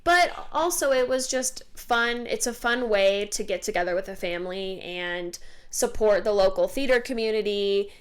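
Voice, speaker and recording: A young woman co-hosts a casual podcast, recalling family traditions. She talks in an easygoing, chatty way with plenty of "um"s and "like"s, at a moderate pitch. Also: moderate pace, hardly any pauses. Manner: chatty, relaxed storytelling with many fillers. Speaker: podcast co-host. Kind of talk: casual chat.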